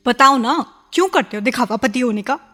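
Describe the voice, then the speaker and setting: A high-pitched young wife in a radio play, wounded and insistent. She speaks with raw, confrontational intensity and a pleading edge. Soft music sits behind her. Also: expressive, brisk. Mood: demanding, hurt. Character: hurt, insistent young wife, once widowed, pleading for love. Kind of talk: Hindi radio drama acting.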